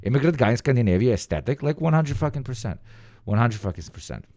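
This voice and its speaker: A man with an Eastern European accent, reacting to a video in casual, profane, rambling commentary with sudden animated bursts. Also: mid-range voice, quick pace, no room sound, clean studio sound.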